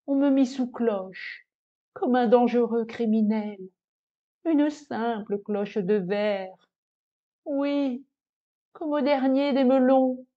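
A woman voicing a vain, naive cheese in a recited poem, lamenting its fate in a plaintive, theatrical way with dramatic pauses. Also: on the high side, dull audio. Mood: indignant, plaintive.

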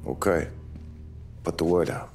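An actor playing a cocky but obedient gangster, a don's younger brother, blunt and swaggering, in a crime drama game. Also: male voice, low-pitched, fairly even intonation, unhurried. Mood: grim. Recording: loud music behind.

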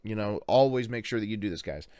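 A man in his twenties hosting a reaction video, talking casually and haltingly into a close desk microphone in a bedroom. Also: on the low side, expressive, dark tone, no echo. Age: 20s. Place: bedroom, indoors. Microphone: close desk microphone with pop filter, headset on.

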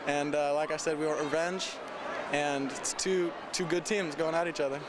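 A teenage football player giving halting postgame answers on a stadium field at night, with many fillers. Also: male voice, fairly high pitch, steady tone. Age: teens. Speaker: high school football player. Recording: outdoor stadium noise.

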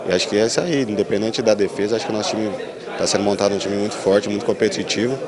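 A young Brazilian football defender, a man with a very deep voice. He talks fast and matter-of-factly while a crowd chatters loudly behind him. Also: fairly even intonation. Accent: Brazilian Portuguese.

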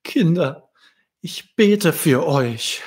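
A man's voice: a little high, lively intonation, extremely slow, darkish tone, pitched above the performer's own voice, exaggerated voice. A man voicing an anxious, caring mother ladybug who solemnly warns her children in a children's storybook. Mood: worried warning.

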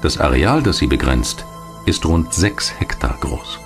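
A man reading scripted documentary narration in a measured, clearly articulated storytelling manner. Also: very deep voice, big pitch swings. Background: music behind the voice.

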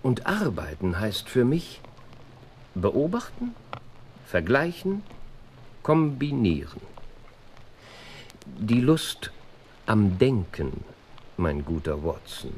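An actor playing a sharp, brilliant, self-assured detective in a German radio play, speaking precisely and theatrically. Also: male voice, on the low side, sing-song, takes it slowly, long pauses, a little hiss or hum, exaggerated voice. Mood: restless, scornful.

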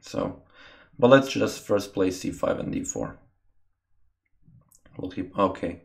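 A young man with a very low, deep voice, streaming chess from a small room. He thinks aloud about his moves and chats with his viewers between them. He is recorded through a desk webcam mic. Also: slow, creaky. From Croatia. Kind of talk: live game commentary with chat.

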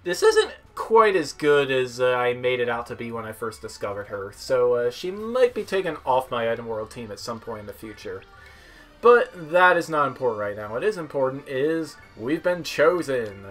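A young man giving casual, offhand gameplay commentary as he demos game characters, with a hesitant, halting delivery. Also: mid-range voice, big pitch swings. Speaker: gamer demoing video game characters. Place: indoor room at home, seated. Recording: game music behind the voice. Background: video game music.

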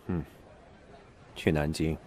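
An actor playing an eerie, ominous coffin seller in a slow, sinister manner in an audio drama. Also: male voice, extremely low pitch, level tone, a little mellow, some chatter behind, slight background noise. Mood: ominous calm.

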